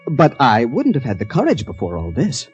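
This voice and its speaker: An actor playing a strong but unsure, polite man on the run in a radio drama, speaking haltingly. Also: medium pitch, wildly swooping pitch, lo-fi sound, soft background music. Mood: bashful, tender.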